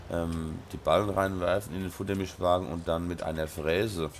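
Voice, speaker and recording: A man with a Rhine-Hessian German accent, hoarse from a sore throat and blocked nose, casually commenting on his farming game and mumbling with many ums. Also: low voice, level tone, normal speed, slight background noise. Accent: Rhine-Hessian German dialect. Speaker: let's play host.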